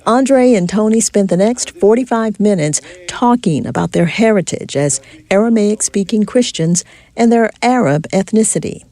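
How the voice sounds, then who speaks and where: A woman radio reporter narrating a scripted news feature in a measured, clear way. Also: mid-range voice, animated, warm tone.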